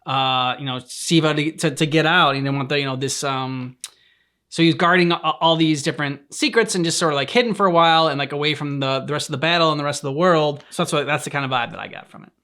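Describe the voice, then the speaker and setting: A man's voice: a little high, quick pace, bright, forceful tone. Casual man talking in a meandering way. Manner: hesitant, meandering. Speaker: co-host of a reaction channel. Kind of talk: casual chat, commentary on game cutscenes.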